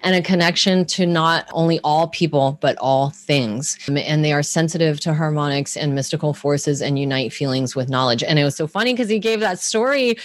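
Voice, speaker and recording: Low-voiced woman podcast co-host. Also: brisk, few pauses. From Hawaii. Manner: rambling, self-correcting, laughs often. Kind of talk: casual chat.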